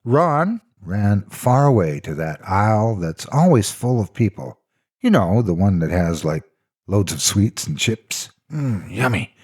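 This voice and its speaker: Husky, theatrical male storyteller. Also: fairly low pitch, very animated, unhurried, darkish tone. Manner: theatrical, mock-serious. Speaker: narrator of horror stories. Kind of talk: dramatic scripted storytelling.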